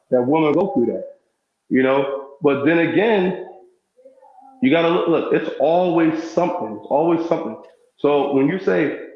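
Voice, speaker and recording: A man chatting casually and giving his opinions in a rambling way, repeating phrases and swearing now and then. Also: a little high. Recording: echoey, dull lo-fi sound.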